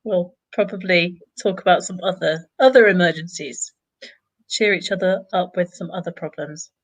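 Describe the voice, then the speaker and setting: A woman's voice: on the low side, normal speed, poor audio. British woman teaching online. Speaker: English teacher co-hosting a webinar. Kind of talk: online lesson, conversational presenting.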